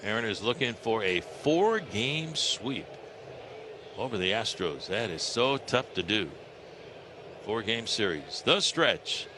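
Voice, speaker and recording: A man's voice: fairly low pitch, big pitch swings, slow. An American man doing live baseball play-by-play on the radio, describing the action as an announcer. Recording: clearly noisy live ballpark radio broadcast.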